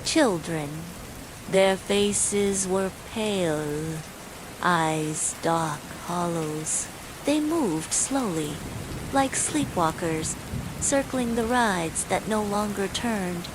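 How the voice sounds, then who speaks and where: A woman reading a scripted bedtime horror story in a measured, suspenseful way, as a storyteller. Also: mid-range voice. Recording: steady loud rain noise throughout. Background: falling rain.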